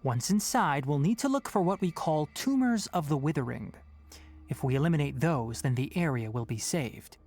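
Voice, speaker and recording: An actor playing a calm, knowledgeable young forest ranger in a video game, speaking in a measured, instructive way with authority. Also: male voice, fairly high pitch. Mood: grave, cautioning. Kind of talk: English video game voice acting.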